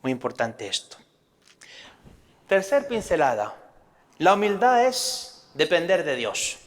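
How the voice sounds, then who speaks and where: A priest from Spain with a Castilian Spanish accent delivers a sermon, his voice sitting a little high for a man. He teaches earnestly and poses rhetorical questions to his listeners. The sound carries a long reverb, as if in a large church hall. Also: very animated, slow.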